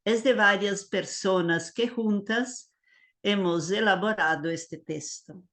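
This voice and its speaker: Slow, hesitant older woman. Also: medium pitch, a bit bright. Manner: hesitant, many fillers and Italian words. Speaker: liturgy teacher. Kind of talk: online lecture. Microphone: video call.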